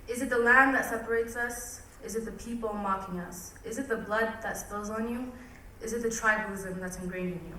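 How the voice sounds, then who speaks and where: A young woman reciting a spoken word poem at a community event, with a measured, solemn delivery. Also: fairly high pitch, fairly even intonation, bright, forceful tone. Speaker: poet performing at a cultural event. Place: large event hall. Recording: echoey hall from far off, faint noise.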